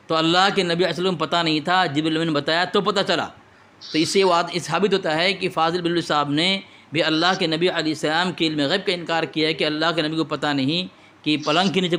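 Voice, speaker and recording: High-pitched man, insistent debater. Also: fairly bright tone. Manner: insistent, pressing with repeated questions; quotes from books and scripture. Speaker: interviewer and debater. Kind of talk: religious debate on a phone call. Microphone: phone call.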